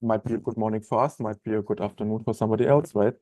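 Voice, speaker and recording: A man in his thirties with a German accent, chatting as a trainer in a live online software webinar, with many fillers and restarts. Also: lowish voice, steady tone, rapid-fire, no room sound. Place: office room, indoors.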